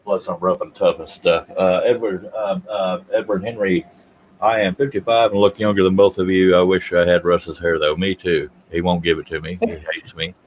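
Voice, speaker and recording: Guy in his 50s, real low voice, rambling jokey livestream host, faint room noise. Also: fairly even intonation, normal speed, highs cut off. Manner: joking, self-deprecating, rambling. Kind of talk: casual chat.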